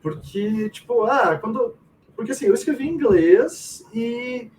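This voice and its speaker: A Brazilian man with a notably high, very lively voice talks informally as an interview guest, full of slang and quoted asides. He sounds set back from the microphone, with faint noise around him. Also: measured pace. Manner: informal, slangy, often quoting own thoughts. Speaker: game writer, interviewee. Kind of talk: casual chat, animated anecdotes. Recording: distant mic with faint room noise.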